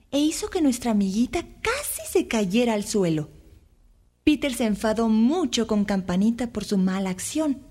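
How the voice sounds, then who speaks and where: A woman narrating a scripted children's story with expressive, careful phrasing. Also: on the high side.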